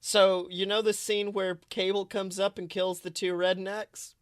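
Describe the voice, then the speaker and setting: A podcast co-host chatting casually about films in an animated, emphatic way with plenty of swearing. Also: medium pitch, unhurried, dry recording, studio quality. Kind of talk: casual film discussion podcast chat.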